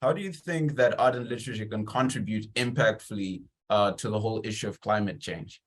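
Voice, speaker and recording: A man's voice: fairly low pitch, brisk. A man conducting a conversational interview, encouraging and appreciative, with long, wordy questions.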